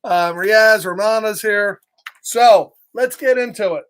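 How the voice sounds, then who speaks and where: A man's voice: high voice, takes it slowly, clean tone. Playful man reading to children. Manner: animated, playful asides, greets listeners by name, asks questions. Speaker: storybook read-aloud host. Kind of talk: live children's story time, chatting with viewers between lines of the book.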